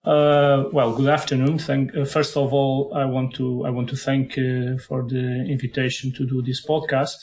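A middle-aged man from Portugal with a strong Portuguese accent, answering interview questions in English with many pauses and repeated words. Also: mid-range voice, flat delivery, a little mellow. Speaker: head of football performance analysis.

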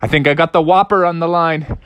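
A man voicing a fatherly frog dad who speaks in a lower, steady, reassuring way, in a bedtime story told aloud. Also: fairly quick, smooth, lightly acted. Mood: calm. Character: calm, fatherly frog dad, easily excited.

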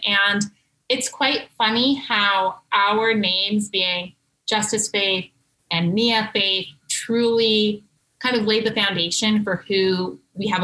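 A woman's voice: medium pitch, bright, forceful tone, rough recording. A young Canadian woman interviewed as a guest, answering in composed, articulate full sentences. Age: young adult. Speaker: guest, brand co-founder.

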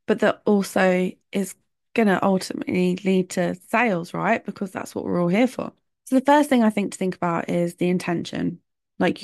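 A woman's voice: mid-range voice, no echo, studio quality. A woman hosting a solo podcast, talking in a chatty, opinionated way. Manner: chatty, opinionated, laughs between points. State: sore throat. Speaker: podcast host.

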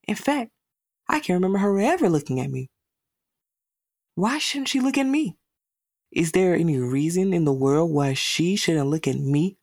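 A woman's voice: deep voice, a little mellow, dry recording, clean studio sound, heavily acted. A woman performing a monologue as a lonely, self-doubting young boy, glum and self-deprecating. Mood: anxious, self-critical. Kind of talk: dramatic monologue reading.

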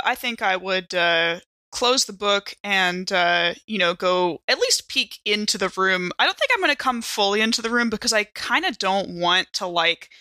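A woman's voice: mid-range voice, sharp tone, no room sound. A woman taking part in a tabletop game as a player, chatting casually and improvising her character's actions. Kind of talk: casual improvised role-play chat.

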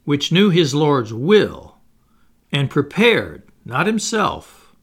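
A man's voice: a little high, big pitch swings, a little slow, vocal fry, a bit bright, exaggerated voice. A man voicing a sarcastic, blustering old plantation owner who mocks and preaches in a drawl. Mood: preaching. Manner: mocking, bullying and preachy, with drawled words.

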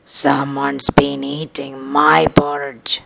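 A woman voicing a puzzled mother bear who speaks in a slow, measured way. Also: extremely low pitch, monotone, gravelly, fairly bright tone, a little hiss or hum, pitched below the performer's own voice, heavily acted.

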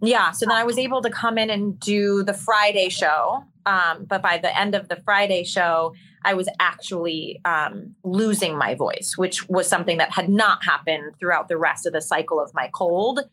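Bright-voiced woman telling candid stories. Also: fairly low pitch, normal speed, a little hiss or hum. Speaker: interview guest, musical theater performer. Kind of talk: candid conversational storytelling.

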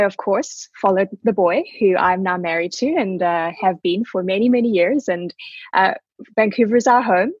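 A woman's voice: medium pitch, breathy edge, no room sound, lo-fi sound. A woman in her forties, a podcast guest telling her story in a gracious, reflective way over a remote call. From South Africa. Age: 40s. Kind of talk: conversational interview.

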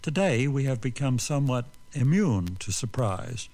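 A middle-aged or older man giving a formal, measured scripted introduction, looking back as a radio dramatist. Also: medium pitch, lively intonation, a little mellow. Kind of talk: scripted narration, reminiscence.

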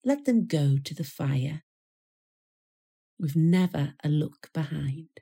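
A woman reciting a poem in a measured, solemn manner with deliberate pauses. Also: sits low, lively intonation, creaky, dark tone, husky. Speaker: poetry reader. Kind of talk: poetry recital.

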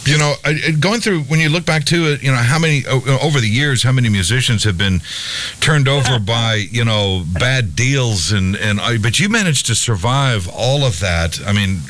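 A man hosting an interview, asking halting questions with many restarts. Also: medium pitch, expressive, fairly bright tone. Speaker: interview host.

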